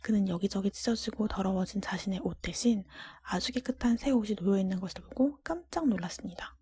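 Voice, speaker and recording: A woman narrates a storybook in a hushed ASMR whisper. Her voice is very breathy and soft, with a dark, mellow tone. The sound is dry and intimate, and she reads gently and carefully. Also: medium pitch, brisk, dry recording. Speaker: storybook reader.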